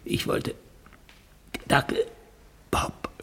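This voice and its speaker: An actor playing a dying, remorseful gangster in a 1950s German radio play, confessing in halting gasps with a husky, creaky voice. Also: male voice, sharp tone. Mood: weak, resigned. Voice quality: husky, creaky, breathy whispering. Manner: halting, gasping, repeating himself.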